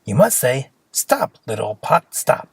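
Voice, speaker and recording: A storyteller voicing a kindly, mysterious old woman from a fairy tale, gentle and instructive. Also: mid-range voice, very animated, slow, slightly rough, no echo, pitched below the performer's own voice, heavily acted.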